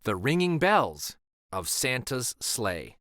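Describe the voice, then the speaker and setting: Man, picture book narration, unhurried, expressive, lively pitch movement. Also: dry recording, studio quality. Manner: expressive, deliberate. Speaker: storyteller reading aloud.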